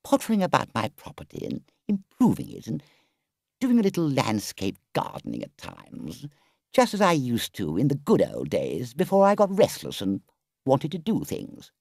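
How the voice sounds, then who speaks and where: A man voicing a conceited, excitable toad gentleman from a storybook in a theatrical, swaggering manner. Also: high voice, unhurried, hardly any pauses, dry recording, clean studio sound, voice pushed up. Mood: earnest, wistful.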